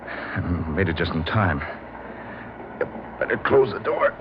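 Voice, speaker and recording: Panicked fugitive on a noisy old radio show. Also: male voice, deep voice, lively intonation, measured pace, lo-fi sound. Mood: weary relief. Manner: frantic, muttering, breaking into terrified cries. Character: panicked fugitive criminal man on the run, guilty and terrified. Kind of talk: old-time radio horror acting.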